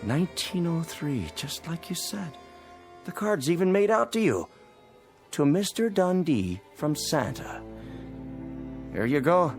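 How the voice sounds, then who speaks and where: A gruff, busy American policeman in a radio play. He talks bluntly and folksily with a very lively pitch, over faint music. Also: a little slow, long pauses. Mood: amazed. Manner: folksy, blunt, ungrammatical cop talk. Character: gruff, busy, plain-spoken male police officer. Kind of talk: radio drama acting.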